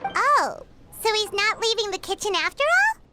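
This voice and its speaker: A woman voicing a tiny, perky, childlike fairy companion in a video game, excitable and fond of money. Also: moderate pace. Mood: inquisitive. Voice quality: squeaky, childlike. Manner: perky, speaks of self in third person. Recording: music playing behind the voice.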